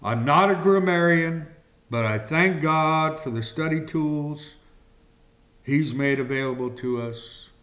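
A man preaching a communion sermon slowly and deliberately, pausing between phrases. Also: fairly high pitch, a bit bright. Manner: slow and deliberate, with long pauses.

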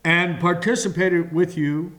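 A man preaching a communion sermon slowly and deliberately, pausing between phrases. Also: high-pitched, fairly even intonation, a bit bright. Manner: slow and deliberate, with long pauses.

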